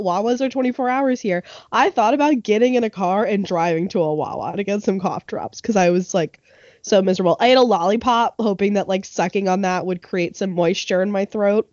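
A young woman co-hosting a casual chat, animated and laughing often, a little sniffly from a cold. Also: medium pitch, fairly quick, no echo, lo-fi sound.

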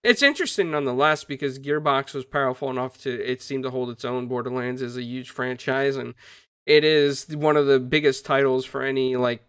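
A man's voice: mid-range voice, fairly quick, fairly bright tone, clean tone, dry recording, clean studio sound. A man hosting a gaming news podcast, talking casually and upbeat with many fillers as he goes through stories. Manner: upbeat, greets viewers, uses many fillers. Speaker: podcast host.

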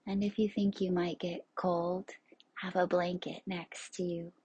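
A woman leading a guided relaxation as a yoga nidra instructor, soothing and unhurried, in a hushed, breathy voice that is often near a whisper. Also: fairly low pitch, flat delivery, dry recording, muffled recording. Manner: soothing, unhurried, spacious pauses.

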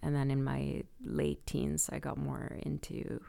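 A young woman from Sweden, a singer-songwriter, talking casually in an interview, relaxed and laughing often. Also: extremely low pitch, unhurried, vocal fry, no echo. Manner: relaxed, laughing, many fillers.

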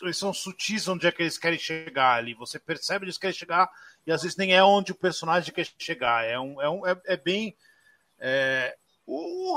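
A Brazilian man co-hosting a casual film podcast, animated and full of restarts and fillers. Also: high-pitched. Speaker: podcast co-host.

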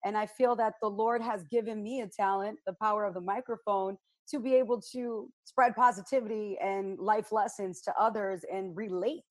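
A woman's voice: mid-range voice, normal speed, hardly any pauses. Blunt, outspoken woman radio personality. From United States, south side of Chicago. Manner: outspoken and blunt, animated storytelling. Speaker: radio personality, panel guest. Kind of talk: candid panel discussion.

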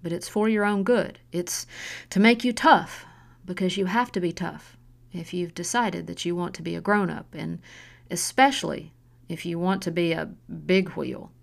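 A woman's voice: fairly low pitch, moderate pace, creaky. Woman voicing a drunk, sneering, cruel mother. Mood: coldly instructive. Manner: taunting, harsh, loud and scornful. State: drunk, slurring. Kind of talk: audiobook narration.

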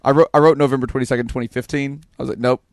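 A man's voice: mid-range voice, steady tone, rapid-fire, gravelly, no room sound, clean studio sound. A man co-hosting a podcast, chatting casually and reading listener messages aloud.

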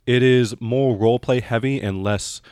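A man's voice: mid-range voice, normal speed, a little mellow, clear, resonant tone, dry recording, clean studio sound. A man with an American accent, a game master casually explaining rules in an emphatic, matter-of-fact way.

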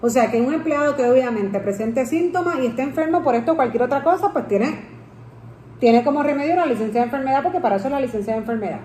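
A woman's voice: sits high, animated, fast talker, darkish tone. A woman with a Puerto Rican Spanish accent hosting a conversational interview and putting questions to a guest. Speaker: interviewer, host. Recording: echoey room with some background noise.

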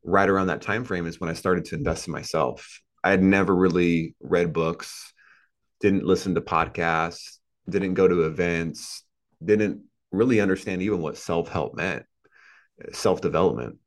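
Male, 30s, low register, earnest motivational interviewee, emphatic and repetitive delivery. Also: normal speed. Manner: earnest, emphatic, repetitive phrasing with rhetorical tags. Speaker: podcast guest, entrepreneur.

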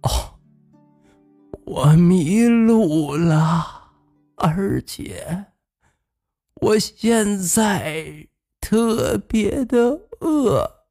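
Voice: medium pitch, expressive, drawn-out words, pauses often, warm tone, soft background music, voice pushed up, full character voice. A storyteller voicing a sad, weary old person who weeps and pleads, in a children's fairy tale. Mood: tearful, pleading.

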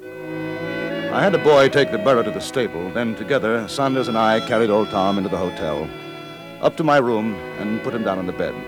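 A cool-headed gentleman telling his frontier tale. The voice is fairly low with lively intonation, formal and measured. It is 1950s radio drama, with music in the recording. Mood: dry storytelling. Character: courteous, cool-headed gentleman adventurer and narrator.

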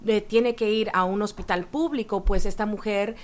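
A woman guest explaining a topic earnestly, step by step, often pausing on fillers. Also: medium pitch, fairly even intonation. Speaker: guest activist. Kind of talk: explanatory interview answers.

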